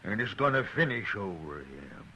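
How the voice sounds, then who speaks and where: A man's voice: fairly low pitch, big pitch swings, normal speed, sharp tone, breathy edge, full character voice. An actor playing a brutal male outlaw on a 1950s radio western, with menacing speech and coarse frontier grammar. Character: brutal vengeful male outlaw, touchy about being laughed at. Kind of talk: 1950s radio drama acting.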